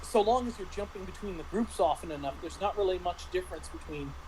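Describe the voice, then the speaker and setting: A man in a casual tabletop roleplaying discussion, speaking in a halting, explanatory way as a game master and panelist. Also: sits high, animated, a little mellow, slight background noise, low-quality recording.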